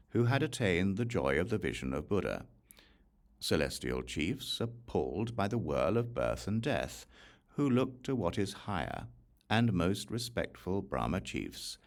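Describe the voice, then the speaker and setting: A man reading Buddhist scripture as an audiobook narrator, in a measured, solemn manner through long, listed phrases. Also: extremely low pitch, vocal fry. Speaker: audiobook reader.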